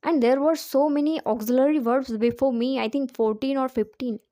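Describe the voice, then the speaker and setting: Female English tutor, non-native speaker, high soft-toned voice, explanatory and repetitive for emphasis. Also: steady tone, normal speed, no echo. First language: not English. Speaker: English teacher giving advice. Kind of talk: informal spoken lesson drawing on personal experience.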